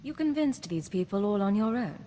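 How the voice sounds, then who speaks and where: A woman performing a cool, self-possessed secret agent in a sci-fi game. She is direct and wry, with a medium-pitched voice. The audio has long reverb and faint noise. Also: lively intonation, moderate pace, mellow, lightly acted. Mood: wry, curious. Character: poised, wry, affectionate female imperial secret agent. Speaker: player character in a video game. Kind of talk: scripted game dialogue.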